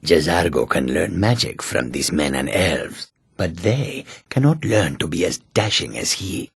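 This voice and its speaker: Deep, husky, self-assured catfolk adventurer. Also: male voice, no room sound, clean studio sound, heavily acted. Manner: self-assured, formal, speaks of himself in third person. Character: proud, courteous male catfolk mage and warrior. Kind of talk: video game character dialogue.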